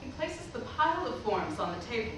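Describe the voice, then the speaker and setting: A woman's voice: on the high side, sing-song, a little fast. A woman reading out scripted stage directions as narrator of a play reading. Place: indoor theatre stage. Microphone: distant camera microphone. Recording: echoey stage recording, far off, noisy.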